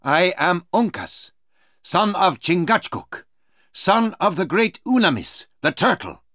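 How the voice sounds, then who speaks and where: A man's voice: high voice, big pitch swings, slow pace, bright, forceful tone, no room sound, studio quality, voice pushed up, exaggerated voice. A proud young warrior, acted by a male storyteller, earnest and defiant. He declaims emphatically in a raised, swooping voice, as in a storybook reading.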